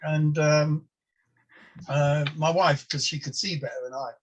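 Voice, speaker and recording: A man's voice: a little high, steady tone, unhurried, slight background noise. A man in his sixties with a London accent, a native Polish speaker, telling rambling, self-deprecating stories as a comedian being interviewed. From England. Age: 60s. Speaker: comedian and guest. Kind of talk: casual interview chat.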